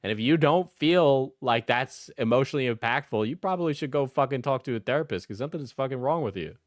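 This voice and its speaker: A man's voice: mid-range voice, lively intonation, fast talker, no room sound. Blunt, mocking male commentator, close dry sound. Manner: blunt, mocking, punctuates points with "right?". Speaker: commentator reacting to an interview. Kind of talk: casual opinionated commentary with heavy swearing.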